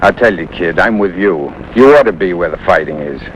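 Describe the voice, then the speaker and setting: An actor playing a gruff but friendly American military instructor in a 1950s TV drama. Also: male voice, sits low, animated, fairly quick, a little hiss or hum. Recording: muffled 1950s TV soundtrack, loud music.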